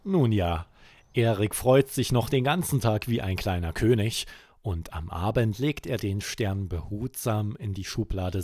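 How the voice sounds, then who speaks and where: A man hosting a children's podcast, narrating a scripted bedtime story in an expressive, deliberate way. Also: on the low side, heavy background noise.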